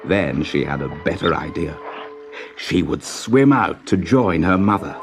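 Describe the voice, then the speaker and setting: A man with a fairly low voice reads a children's story aloud. His delivery is dramatic and measured, with very animated rises and falls in pitch. Music plays clearly behind him. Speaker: storybook narrator. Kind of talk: expressive children's story narration.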